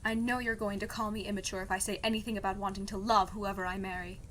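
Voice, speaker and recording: A woman's voice: mid-range voice, little pitch movement, fast talker, fairly bright tone, a little hiss or hum, lightly acted. A woman playing a stubborn, sardonic young princess in an audio drama, speaking in a dry, defiant way. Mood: sullen, uneasy. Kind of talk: audio drama podcast acting.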